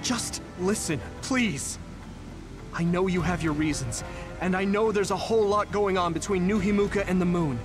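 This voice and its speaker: Casting: male actor as young idealist game hero, notably high light male voice, sincere and imploring, music underneath. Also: normal speed, lightly acted. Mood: earnest pleading. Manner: earnest, imploring. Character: earnest, idealistic young male hero, pleading yet determined. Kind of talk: dramatic video game acting.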